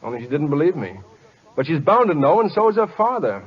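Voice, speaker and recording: Earnest american guy, kinda high voice, hesitant and polite, old radio play, dull muffled sound. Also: sing-song, fast, breathy edge. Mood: troubled, resigned. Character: earnest, flustered, soft-hearted man turned secret ballplayer. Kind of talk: 1940s-50s American radio acting.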